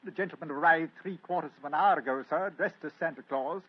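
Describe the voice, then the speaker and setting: A man's voice: fairly high pitch, quick pace, close to an everyday voice. An actor playing a deferential, anxious male butler in an old radio drama. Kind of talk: 1940s radio acting. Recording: dull old radio recording.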